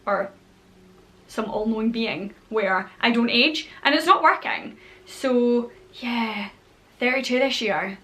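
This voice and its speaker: A woman's voice: a little high, a bit bright. A Scottish woman in her thirties chatting casually to camera as a vlogger, bubbly and chatty, with playful whispered asides. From Scotland. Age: 30s.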